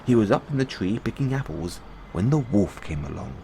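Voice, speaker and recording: A man reading bedtime fairy tales as an audio roleplay, in measured storybook narration. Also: lowish voice, big pitch swings, gravelly, background noise.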